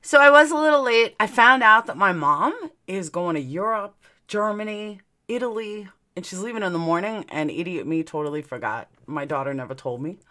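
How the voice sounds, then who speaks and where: Bright-voiced New York woman, blunt and chatty. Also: mid-range voice, expressive, moderate pace. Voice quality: speech slightly affected by clear dental aligners. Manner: blunt, sweary, rambling. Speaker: livestream host. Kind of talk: casual livestream chat with viewers.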